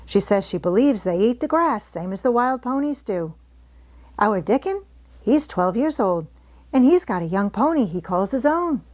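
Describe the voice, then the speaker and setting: A woman's voice: on the high side, sing-song, moderate pace, darkish tone. A woman voicing a good-tempered, outspoken young housemaid who rattles on in homely dialect for a storybook. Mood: cheerful, chatty. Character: good-tempered, chatty young Yorkshire housemaid, plain-spoken country girl.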